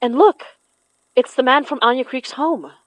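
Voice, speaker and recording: An earnest, self-doubting investigator, close and dry. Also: female voice, high voice, moderate pace, fairly bright tone, no echo, poor audio. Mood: puzzled, intent. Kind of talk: audio fiction podcast acting.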